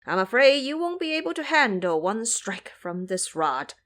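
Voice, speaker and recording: A woman puts on a little monkey warrior for an audio drama. He is cocky and taunting, with a high voice that bounces widely. Every phrase comes out as a sneering tease. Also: big pitch swings, fairly bright tone. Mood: smug. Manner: sneering, teasing, drawn-out taunts.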